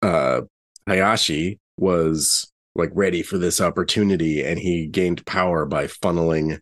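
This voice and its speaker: A man playing an earnest, skeptical investigator in a tabletop game, speaking in a hesitant, halting way. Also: low-pitched, fairly bright tone. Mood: explaining. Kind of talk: improvised in-character tabletop dialogue.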